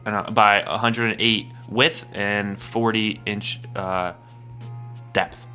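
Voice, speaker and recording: A man's voice: fairly even intonation, slow pace, bright, forceful tone, faint music behind. A man playing a pushy TV shopping channel host, hyping a product to the folks at home. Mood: hyped. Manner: salesy, hyped pitch to viewers.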